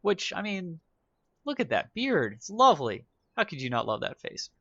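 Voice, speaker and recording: A man's voice: high-pitched, wildly swooping pitch, normal speed, gravelly, a bit bright, slightly rough, no room sound, highs cut off. A man giving casual, wry commentary while playing a strategy game, thinking aloud as he goes. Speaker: gaming YouTuber. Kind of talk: let's play commentary.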